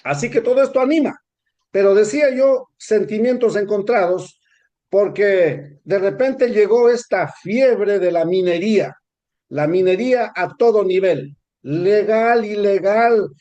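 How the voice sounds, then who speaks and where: Measured Ecuadorian man with a mellow voice. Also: sits high, highs cut off. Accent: Ecuadorian Spanish. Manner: measured and explanatory, with frequent hesitations. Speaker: interview guest. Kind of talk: interview answers.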